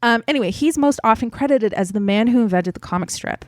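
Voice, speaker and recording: A woman's voice: fairly high pitch, expressive, quick pace, no echo, studio quality. A woman co-hosting a podcast, explaining comic book history in a chatty way with fillers and small laughs. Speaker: podcast co-host. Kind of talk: casual explanatory podcast chat.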